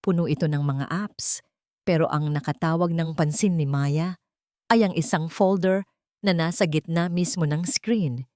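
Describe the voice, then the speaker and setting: A woman narrating a dramatic scripted story in a suspenseful, deliberate way, in short phrases. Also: low-pitched, fairly even intonation, a little mellow, dry recording, studio quality. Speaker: narrator of a Tagalog audio drama.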